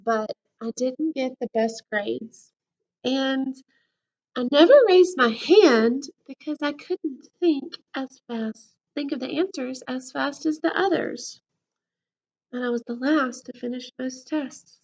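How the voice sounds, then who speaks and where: A woman school counselor reading a picture book to children in an expressive, casual storytime style. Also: high-pitched, slow, muffled recording. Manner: animated, acts out the character lines. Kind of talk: expressive children's read-aloud with casual asides.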